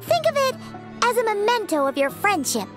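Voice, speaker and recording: A tiny female fairy sidekick chirps in an extremely high, very animated childlike voice. She is candid and friendly, in game dialogue over clear background music. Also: moderate pace. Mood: chirpy, candid. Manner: exclamatory, childlike, explains things simply. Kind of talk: scripted video game dialogue.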